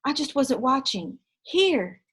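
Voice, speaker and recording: A woman reader puts on a mischievous schoolboy from a children's storybook. The voice sits high and eager. The delivery is emphatic, with a cheeky, clever temper. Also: big pitch swings, slow pace, fairly bright tone, breathy edge, full character voice. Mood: apologetic. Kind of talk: children's book read-aloud.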